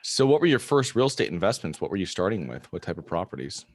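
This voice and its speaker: A man's voice: sits low, sing-song, fast talker, dry recording. A man hosting an interview podcast, delivering a prepared introduction and asking the questions.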